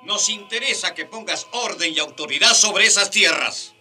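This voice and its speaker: An actor playing an imperious, wryly amused Spanish monarch in a radio drama, commanding and teasing. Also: male voice, high voice, lively intonation, normal speed, pushed and bright, slightly rough, faint music behind, heavily acted.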